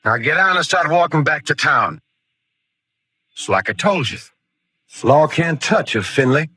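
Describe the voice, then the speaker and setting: Young male villain, radio western: spoiled, craven rancher's son, high voice, highly animated, melodramatic, dry sound. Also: measured pace, husky, dry recording, exaggerated voice. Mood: smug menace. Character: sneering, cowardly young outlaw son of a rancher. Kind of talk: 1950s radio western acting.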